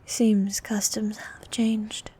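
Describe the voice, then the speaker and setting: A woman voicing a vain, flirtatious sea queen monster in an ASMR roleplay, soft and half-whispered, with a regal, teasing manner. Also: mid-range voice, little pitch movement, husky, full character voice. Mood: teasing, haughty. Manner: regal, teasing, slow and coaxing.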